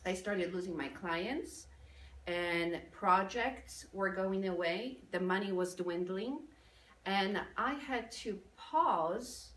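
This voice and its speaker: A woman from Poland with a Polish accent, giving a lively motivational business talk to a live audience. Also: medium pitch. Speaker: speaker. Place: event room with audience. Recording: echoey room from a distance.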